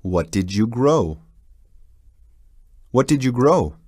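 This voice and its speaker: A man teaching English, reading each drill sentence twice in a deliberate way. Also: mid-range voice, very animated, darkish tone, breathy edge. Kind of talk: slow, repeated drill sentences for learners.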